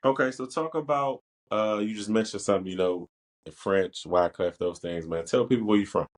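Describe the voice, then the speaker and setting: Deep-voiced laid-back podcast host. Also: male voice. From Washington, D.C. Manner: easygoing, laughs often, quick. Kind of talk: casual podcast interview.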